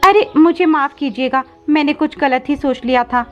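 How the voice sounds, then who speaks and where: A woman plays a caring mother bird in a cartoon fable. The voice is high, polite and motherly. Music runs faintly beneath slightly dull audio. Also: normal speed, natural voice. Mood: apologetic. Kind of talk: cartoon moral story voice acting.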